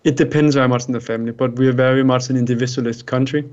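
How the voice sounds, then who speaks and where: A man's voice: medium pitch, steady tone, racing, a little mellow. Danish guy, english isnt his first language, earnest coach advice, dull lo-fi sound. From Denmark. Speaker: guest, life coach. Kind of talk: interview answers.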